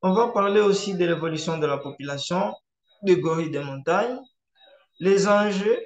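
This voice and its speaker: Man, Swahili speaker, fairly high voice, measured pace with many hesitations, echoey room. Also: lively intonation, dull audio. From eastern DR Congo. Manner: measured, frequent hesitation fillers. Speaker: conservationist presenter. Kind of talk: online presentation. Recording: roomy echo.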